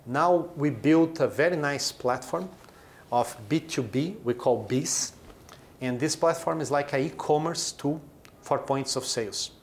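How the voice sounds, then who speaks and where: A man's voice: on the high side, measured pace, slight background noise. A man in his forties from Brazil, a company chief explaining his business in an interview, with short emphatic phrases. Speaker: chief executive of a brewing company.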